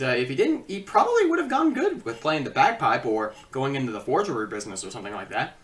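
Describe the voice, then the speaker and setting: A woman's voice: medium pitch, a bit bright, far from the mic. A woman giving a casual, comedic review with sarcastic, rambling asides. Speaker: video reviewer.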